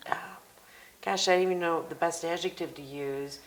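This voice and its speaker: An American woman hosting a personal interview, summarizing and prompting her guest in a measured, conversational way. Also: fairly low pitch, fairly even intonation. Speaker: interview host.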